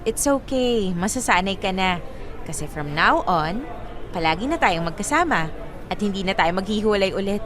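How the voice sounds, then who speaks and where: An actress playing a devoted, generous young girlfriend in a Filipino radio drama, sweet, upbeat and expressive. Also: medium pitch, normal speed, close to an everyday voice. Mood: playful.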